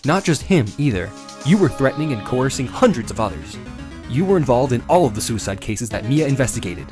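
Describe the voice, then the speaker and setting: A man's voice: mid-range voice, big pitch swings, fairly quick, music in the background, natural voice. A man playing an earnest, dogged young defense lawyer in a voiced game drama. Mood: determined confrontation. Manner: musing aloud, then pointed and accusatory.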